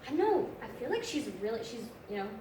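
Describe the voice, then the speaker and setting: A woman's voice: fairly high pitch, very animated, fast. A young woman, a voice actress, chatting through panel answers with a rambling, friendly flow. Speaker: voice actress, convention guest. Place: large convention hall. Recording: echoey hall, audience noise, distant.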